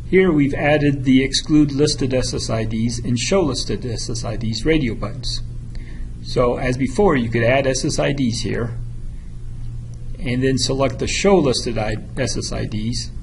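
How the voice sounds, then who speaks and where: A man with a creaky, slightly gravelly voice narrates a product tutorial in a methodical, instructional way. His pitch sits in the middle range for a man. There is faint noise behind him. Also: poor audio. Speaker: product demonstrator. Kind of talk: scripted software tutorial narration.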